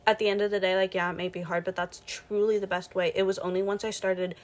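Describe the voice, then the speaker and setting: A woman's voice: medium pitch, level tone, quick pace. A young woman chatting casually as a co-host in an informal, slangy way. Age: young adult. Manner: informal, slangy, mild swearing. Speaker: podcast co-host. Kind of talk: casual chat.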